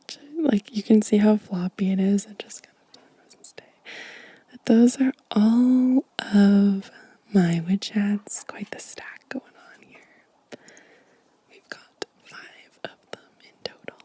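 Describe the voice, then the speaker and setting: A woman speaking in a soft, breathy whisper, gently and intimately, as in an ASMR show and tell close to the microphone. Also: mid-range voice, slow pace, no echo. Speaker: host of an ASMR channel.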